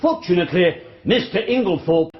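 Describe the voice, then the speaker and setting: Long-winded formal prosecution lawyer, radio drama, very animated pitch, roomy and a bit dull. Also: male voice. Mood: grave, accusing. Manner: oratorical, measured, pedantic exposition. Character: formal, long-winded male prosecuting barrister addressing jury. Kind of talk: radio courtroom drama acting.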